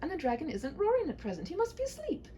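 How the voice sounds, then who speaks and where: A woman's voice: very high voice, huge pitch swings, quick pace, dark tone, slight background noise, voice pushed up, full character voice. A woman voicing a sweet, brave young princess who pleads tearfully in a humorous fairy-tale read-aloud. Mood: pleading.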